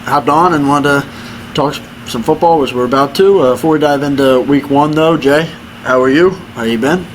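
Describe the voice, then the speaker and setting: A man's voice: fairly high pitch, normal speed, a little hiss or hum, poor audio. A man hosting a sports podcast in an upbeat, teasing way, often repeating himself. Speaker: podcast host.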